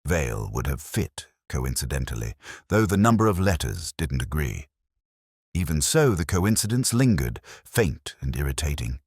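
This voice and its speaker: An older man playing a melancholy, cerebral detective, narrating a scripted mystery in a measured, reflective way. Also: very deep voice, very animated, darkish tone, husky, no room sound, clean studio sound, full character voice. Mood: brooding, reflective. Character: melancholy, cerebral, brooding male English police detective.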